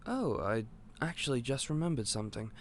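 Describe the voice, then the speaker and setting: A man playing an eccentric, otherworldly candy store owner in an audio roleplay, polite and gentle but a little scatterbrained. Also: mid-range voice, animated, fairly quick, a little hiss or hum. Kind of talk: scripted audio roleplay to the listener.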